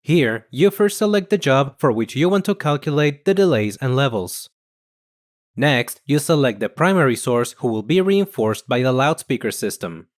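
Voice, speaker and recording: Guy doing scripted software tutorial voiceover, explains stuff in a measured way, mid pitch. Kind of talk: scripted instructional narration.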